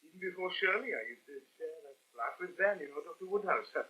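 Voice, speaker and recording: A man's voice: high-pitched, lively intonation, fast talker, sharp tone, breathy edge, heavily acted. An actor playing an easygoing, hesitant married man chatting as a friend in a radio soap. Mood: hesitant, friendly. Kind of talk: 1970s British radio soap acting. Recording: poor, muffled old 1979 radio recording.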